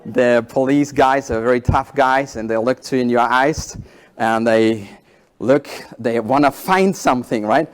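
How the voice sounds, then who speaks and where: A man in his forties whose first language is German, giving a conference keynote in halting English with animated storytelling. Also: mid-range voice. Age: 40s. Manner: animated storytelling, halting non-native phrasing. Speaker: keynote speaker.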